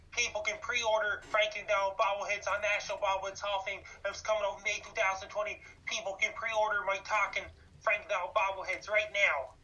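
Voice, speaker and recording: A man in his thirties from Canada talks in an even, monotone way with a bright, forceful edge. He repeats facts and dates as he lists them. He comes through from a distance on a dull, muffled line. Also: sits high. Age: 30s. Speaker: guest, YouTuber. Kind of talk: interview answers.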